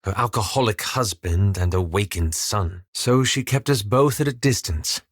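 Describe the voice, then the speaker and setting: An actor playing a reserved, scholarly male fantasy adventurer in a video game, speaking formally and articulately with measured, wry understatement. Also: fairly low pitch, animated, no room sound, clean studio sound. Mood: reflective, sober. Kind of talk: scripted video game character dialogue.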